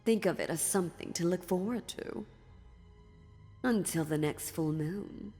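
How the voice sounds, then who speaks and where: Woman as a slow, sly ancient demoness. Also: fairly low pitch, dark tone. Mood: calm, menacing. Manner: slow, taunting, theatrical, deliberate pauses. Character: ancient female demon, sly, dominant, mocking dealmaker. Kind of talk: dark fantasy ASMR audio roleplay. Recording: long reverb, music underneath.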